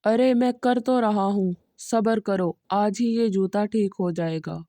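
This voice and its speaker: Woman playing a glib young cobbler, mellow voice. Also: medium pitch, measured pace, no echo, voice pushed down, natural voice. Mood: impatient. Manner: glib, persuasive. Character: scheming young male cobbler, lazy and cunning.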